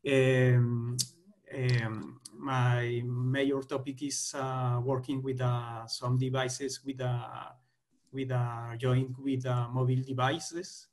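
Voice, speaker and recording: A man's voice: medium pitch, flat delivery, slow, a little mellow. A man from Colombia, introducing himself hesitantly on a webinar panel with many fillers. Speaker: engineer panelist. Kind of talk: halting self-introduction.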